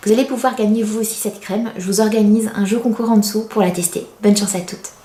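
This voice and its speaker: A woman in her thirties talks to her viewers like a chatty beauty vlogger. Her voice is soft-edged and mellow, at a middling pitch. She is confiding and a little self-deprecating, and the room around her adds a clear echo. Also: fairly even intonation, fast talker. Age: 30s. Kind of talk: casual product review to camera. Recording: roomy, echoey indoor sound.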